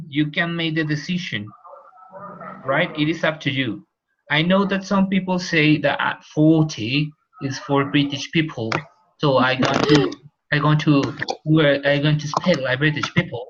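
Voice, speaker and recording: A man's voice: on the high side, a little slow, a bit bright, a little hiss or hum. A male teacher with a Colombian accent, explaining points in an online class and repeating key terms. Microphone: video call. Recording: muffled video call audio.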